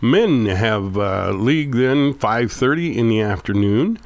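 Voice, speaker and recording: A man's voice: medium pitch, lively intonation, a little slow, dry recording. A man hosting a local radio golf show with a head cold that makes him a little nasal, chatting in a folksy, loose way. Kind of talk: casual radio chat and announcements.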